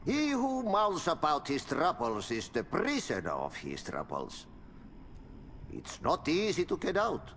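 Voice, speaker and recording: An actor playing a cryptic, serene janitor who speaks in slow riddles and proverbs. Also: male voice, on the high side, huge pitch swings, fairly bright tone, big-room sound, slight background noise, heavily acted.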